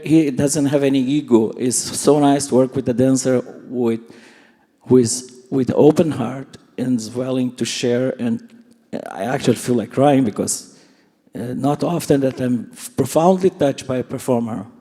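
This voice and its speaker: A man in his sixties whose first language is Portuguese talks after a performance, halting and searching for words but openly heartfelt. His voice is dark and mellow. A long reverb from a theatre hall hangs on every word. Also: medium pitch. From Brazil. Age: 60s. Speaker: choreographer and dancer. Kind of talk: post-show talkback.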